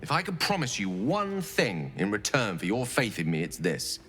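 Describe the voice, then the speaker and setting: An earnest, eloquent young wizard. His bright voice swings widely in pitch as he talks. Soft game music runs under him. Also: wildly swooping pitch, normal speed. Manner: articulate, theatrical, earnest. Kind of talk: video game voice acting.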